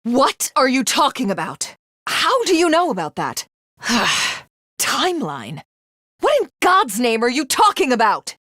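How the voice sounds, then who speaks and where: An actress voicing an arrogant, cruel video game villainess who craves godhood, with haughty, grandiose and menacing formal diction. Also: female voice, high voice, wildly swooping pitch, unhurried, a bit bright, no echo, studio quality, exaggerated voice. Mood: irritated.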